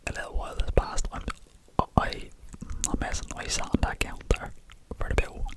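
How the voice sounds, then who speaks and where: A young man with an Irish accent, whispering an animated story to his viewers and swearing casually as he goes. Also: rough recording. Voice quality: whispering throughout. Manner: animated, swears casually, addresses listeners as lads. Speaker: vlogger telling a story.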